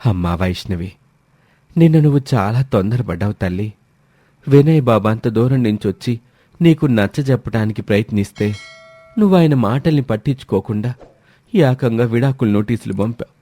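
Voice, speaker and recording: A man voicing a worried parent who gently and affectionately admonishes a grown daughter in a story. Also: mid-range voice, animated, moderate pace, no echo, natural voice. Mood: worried, reproachful.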